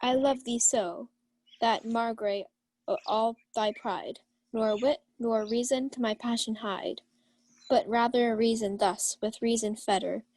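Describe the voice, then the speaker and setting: Lady of the house played by a woman, proud and amorous, slightly high voice, formal Shakespearean delivery. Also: steady tone, slow, darkish tone, low-quality recording. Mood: lovestruck. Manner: formal, declamatory. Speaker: student actor. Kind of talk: Shakespeare in 1940s radio play style.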